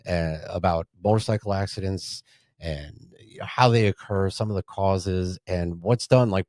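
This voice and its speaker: A man's voice: sits low, darkish tone, dry recording, studio quality. A man hosting a podcast interview as an attorney, asking friendly, chatty, rambling questions.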